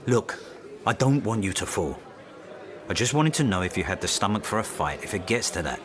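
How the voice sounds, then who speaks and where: An actor playing a stern, measured university boss in a radio drama, reproaching a colleague in a deliberate, admonishing way. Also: male voice, on the low side, big pitch swings, a crowd in the background. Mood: placating. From Essex, England. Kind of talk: naturalistic radio drama acting.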